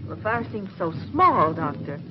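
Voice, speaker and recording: An actress playing an earnest, alert young woman scientist in a 1950s TV space adventure, with crisp, dramatic delivery. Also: on the low side, sing-song, unhurried, background noise. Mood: tense, curious. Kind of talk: 1950s TV serial acting. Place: studio laboratory set. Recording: dull, muffled 1950s TV soundtrack.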